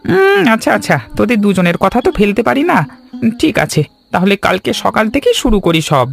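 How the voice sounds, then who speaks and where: Cartoon village confectioner dad, male actor, creaky voice, hugely expressive swings, humble and devoted, music underneath. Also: high voice, fairly quick, exaggerated voice. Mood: fond, reluctant. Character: humble, devoted village sweet-maker father, anxious about work. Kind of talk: animated story dialogue. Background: background music.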